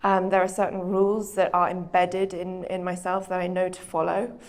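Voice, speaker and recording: A woman's voice: lowish voice, completely flat delivery, normal speed. Thoughtful British woman author, hesitant. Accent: British English. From Britain. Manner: thoughtful, hesitant, with frequent fillers. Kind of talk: reflective conversation on stage.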